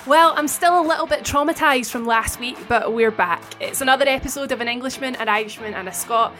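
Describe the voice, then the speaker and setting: A young Scottish woman with a fairly high voice talks in easy, chatty podcast banter. She teases her co-hosts and explains at length. Faint music plays under her voice. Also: fairly quick, sharp tone. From Scotland, Paisley. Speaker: podcast co-host. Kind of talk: casual comedy podcast chat.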